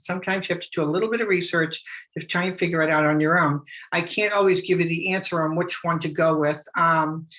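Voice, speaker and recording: A woman's voice: lowish voice, a bit bright, low-quality recording. A middle-aged woman talking through a screen-share tutorial in a chatty, repetitive way, full of fillers and restarts, while she is losing her voice. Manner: chatty, repeats herself, many fillers and restarts. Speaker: business blogger teaching online marketing.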